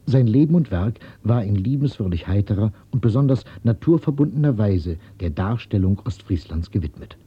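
A man narrating a radio feature, reading a script in a formal, measured way. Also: lowish voice, animated, breathy edge, muffled recording.

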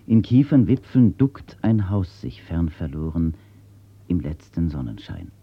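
A man, a writer, reading his own words and reciting nature poetry in a slow, measured, solemn way, from an old archive recording. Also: extremely low pitch, slightly rough, highs cut off. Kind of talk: poetry recitation and read-out statements.

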